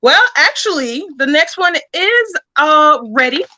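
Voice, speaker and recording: A woman's voice: high voice, lively intonation, measured pace, sharp tone, low-quality recording. A woman speaking casually as a creator being interviewed about their work.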